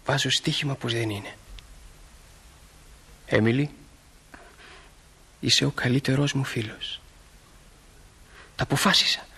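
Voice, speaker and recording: Earnest, slightly husky teenage lad. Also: male voice, mid-range voice, fairly even intonation, pauses often, pushed and bright, full character voice. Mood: eager, resolute. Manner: sincere, impulsive, insistent. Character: earnest, eager, boyish small-town teenage farm lad. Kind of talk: stage drama acting for broadcast.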